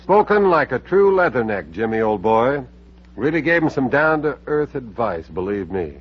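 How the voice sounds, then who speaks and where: A man's voice: mid-range voice, big pitch swings, normal speed, breathy edge. An American man hosting a radio show with scripted, casual banter. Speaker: radio show host and singer. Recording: dull old radio recording.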